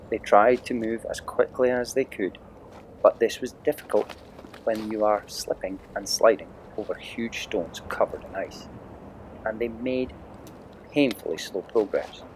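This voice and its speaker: A man's voice: lowish voice, a little mellow, a little hiss or hum, rough recording. A man narrating an adventure audiobook as a dramatic, theatrical storyteller with emphatic delivery.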